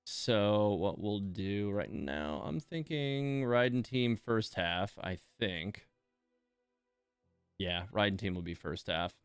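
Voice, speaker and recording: A man with a low, smooth voice talks through his gameplay live. He thinks aloud in an informal, easygoing manner, like a streamer chatting to viewers. The sound is close and dry. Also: animated, takes it slowly, no room sound, faint music behind. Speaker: game streamer and host. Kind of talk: casual live gameplay commentary.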